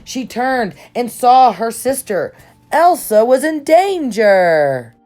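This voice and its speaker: A woman reading a children's storybook aloud in expressive narration, with dramatic emphasis on key words. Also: fairly high pitch, takes it slowly. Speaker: storybook reader. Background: soft music.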